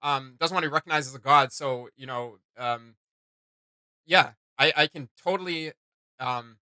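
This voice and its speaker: A man's voice: on the high side, moderate pace, sharp tone, clear, resonant tone, no echo. A man hosting a reaction video, giving casual, opinionated commentary in an emphatic, slangy way.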